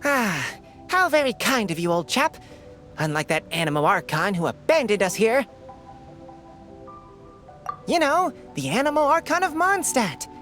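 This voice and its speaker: A woman's voice: a little high, sing-song, unhurried, pauses often, fairly bright tone, faint music behind. A woman voicing a chatty, genteel squirrel in a video game, fussy and politely old-fashioned. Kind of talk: video game character acting.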